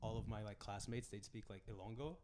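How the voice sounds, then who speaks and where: A man's voice: lowish voice, brisk. A young man from the Philippines chatting casually as a podcast co-host, swearing freely. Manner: casual, swears freely, animated when quoting family. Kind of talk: casual chat. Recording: noisy recording.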